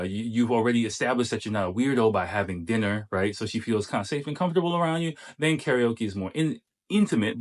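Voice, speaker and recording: Low-voiced man giving casual advice. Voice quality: deep bass voice. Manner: chatty, coaching, many fillers. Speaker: host giving dating advice. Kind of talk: casual commentary on a clip.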